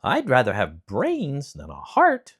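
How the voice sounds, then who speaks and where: A man's voice: medium pitch, huge pitch swings, takes it slowly, creaky, fairly bright tone, pitched above the performer's own voice, exaggerated voice. A man voicing a brainless, opinionated male scarecrow with emphatic delivery.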